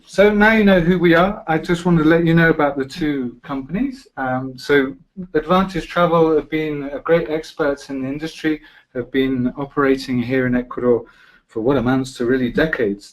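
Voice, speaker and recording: A middle-aged man with a British accent presents a live travel webinar from an office. He talks in a friendly, chatty way and fills his pauses with frequent "uh"s. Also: on the high side, steady tone, low-quality recording. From Britain. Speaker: webinar host. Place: office in Quito.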